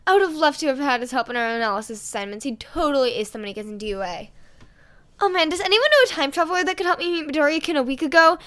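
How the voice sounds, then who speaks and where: A woman's voice: sits high, lively intonation, very fast, fairly bright tone, pitched above the performer's own voice. A woman voicing a friendly, admiring teenage girl student with a chirpy, chatty manner in a story reading. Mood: cheerful, admiring.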